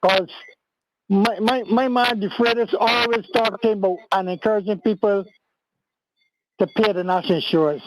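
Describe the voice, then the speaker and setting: Older male caller, Bajan accent, high-pitched voice, casual, with clear background chatter. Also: fairly even intonation, moderate pace, long pauses, low-quality recording. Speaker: caller, pensioner. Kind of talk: casual phone-in chat.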